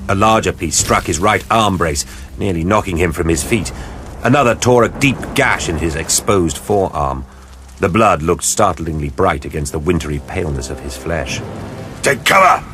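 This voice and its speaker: A man giving grave, measured, dramatic scripted narration for an audio drama. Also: low voice, noisy background.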